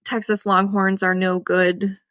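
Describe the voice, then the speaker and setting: A woman's voice: medium pitch, flat delivery, measured pace, fairly bright tone, no room sound, clean studio sound. A young woman with a Midwestern accent, co-hosting a casual farming podcast, chatty and emphatic, laughing often. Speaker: co-host, cattle farmer.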